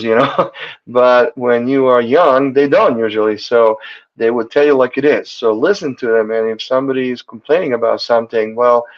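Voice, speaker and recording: A man from Serbia, a guest on a health talk show, talking earnestly and rambling with many fillers. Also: medium pitch. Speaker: chiropractor guest. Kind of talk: casual talk show chat. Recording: muffled lo-fi audio.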